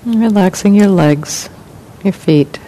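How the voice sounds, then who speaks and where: A woman meditation teacher leading a guided meditation in calm, gentle, unhurried phrases with long pauses. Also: poor audio. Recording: clear rumbling background noise.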